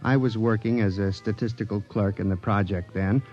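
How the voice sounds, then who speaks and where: A man's voice: fairly low pitch, steady tone, normal speed, darkish tone, lightly acted. An actor playing a nervous male statistical clerk who narrates a 1950s radio drama hesitantly. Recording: dull old radio broadcast with faint music.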